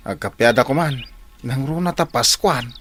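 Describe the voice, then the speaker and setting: Guy acting a peacemaking husband in a radio drama, average pitch, polite and measured, music behind. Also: fairly bright tone, lightly acted. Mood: conciliatory. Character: conciliatory husband, polite neighbor proposing peace.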